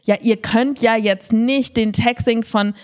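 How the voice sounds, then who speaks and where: A woman chatting casually as a podcast co-host, telling stories in an animated way and slipping into quoted dialogue. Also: fairly high pitch, a little slow. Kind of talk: casual conversational storytelling.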